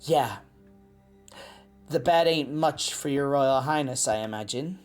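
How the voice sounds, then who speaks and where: A woman voicing a cocky, gruff but motherly lycan mercenary in an audio drama, with a teasing, sardonic drawl. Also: a bass voice, measured pace, a little mellow, soft background music. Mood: bossy, teasing. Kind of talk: scripted audio roleplay drama.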